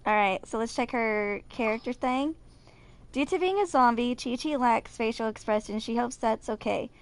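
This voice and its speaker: A woman in her twenties with a slightly high voice talks casually as she plays. Her commentary rambles, halting and restarting as she reads and reacts like a video creator at home. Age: 20s. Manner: rambling, halting, stumbles over hard words.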